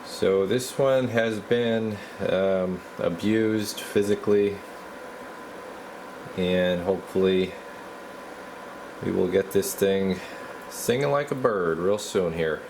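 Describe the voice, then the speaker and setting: A man's voice: low voice, takes it slowly, pauses often. A man giving a casual, folksy, slangy repair walkthrough as a radio technician. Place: indoor radio repair workshop. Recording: steady clear background noise.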